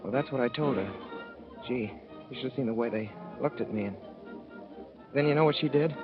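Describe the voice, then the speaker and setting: A rambling, boyish young Midwestern man, as an actor plays him, telling his story in plain folksy talk. His voice sits at an average pitch. The sound is dull old radio, with music underneath. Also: a little fast, lightly acted. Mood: earnest. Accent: Midwestern American. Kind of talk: 1930s radio drama narration.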